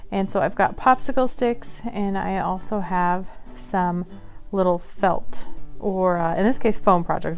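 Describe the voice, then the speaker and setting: A woman hosts a relaxed craft tutorial. She talks in a friendly, chatty way at a middling pitch and sometimes laughs at her own slips. Soft music plays faintly behind her. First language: English; Polish is her second language. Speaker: craft channel host.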